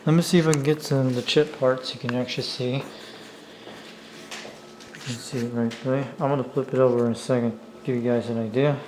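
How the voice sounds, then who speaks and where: A man with a medium-pitched voice talks casually and without a script, like a hobbyist showing off his gear. His delivery is halting and apologetic as he talks himself through what he is doing. The sound is amateur camera audio recorded indoors, with faint noise. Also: darkish tone, poor audio. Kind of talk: casual unscripted show-and-tell. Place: indoors at a table. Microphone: handheld camera's own microphone.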